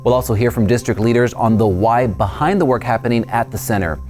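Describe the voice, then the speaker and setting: A man's voice: medium pitch, quick pace, a little mellow, soft background music. A man hosting a school district news show, presenting scripted segments in an upbeat, friendly way.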